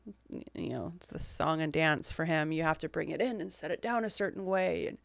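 A woman in her thirties, from England but with an American accent. She is a guest author in a casual interview, soft-spoken, laughing often and trailing off. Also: low-pitched, brisk, creaky, no room sound, clean studio sound.

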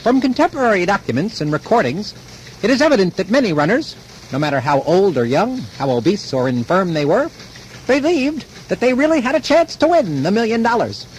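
A scholarly male narrator delivers formal mock-documentary narration. His voice is high with wide, expressive intonation. Noise runs behind the old radio recording. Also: fairly quick, rough recording. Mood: solemn, expository.

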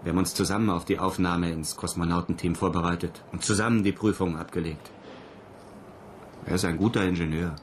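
An actor playing a lonely, embittered cosmonaut in a German radio drama, brooding and wistful. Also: male voice, deep voice, fairly even intonation, a little fast, a little hiss or hum, lightly acted. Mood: bitter. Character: lonely, embittered male cosmonaut aboard a space station.

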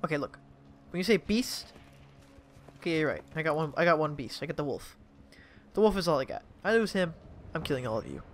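A man hosting a let's play, chatting and joking in an animated way over his gameplay. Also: fairly high pitch, brisk, pauses often. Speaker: gaming channel host.

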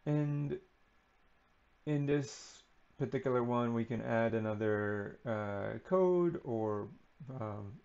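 A man giving an unscripted screen-recording walkthrough of software, relaxed and unhurried with frequent ums and uhs. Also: medium pitch, creaky, muffled recording. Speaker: software tutorial presenter.

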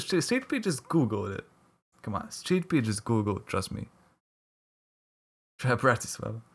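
Streamer: man from Latvia, husky voice, playful and jokey with his chat. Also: mid-range voice. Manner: jokey, laughs often, mock-protesting at chat teasing. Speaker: livestream caster. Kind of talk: casual live-stream chat with viewers.

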